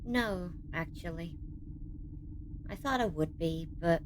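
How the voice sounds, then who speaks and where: A woman's voice: fairly low pitch, slow, background noise. A woman playing an anxious, sceptical space researcher in an audio drama, with a hesitant, emotional delivery. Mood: shaken, wry.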